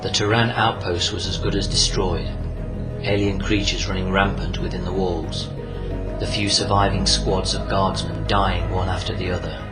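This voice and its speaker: Deep, solemn male narrator. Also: little pitch movement, moderate pace, poor audio. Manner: solemn, portentous. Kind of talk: dramatic scripted war-story narration. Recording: loud music under the voice.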